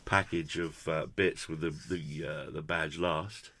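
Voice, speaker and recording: A man's voice: very deep voice, fairly even intonation, a little slow, sharp tone, slight background noise. A British man presenting a casual hands-on product review in a chatty, jokey, effusive way with quaint turns of phrase. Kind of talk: casual hands-on unboxing commentary.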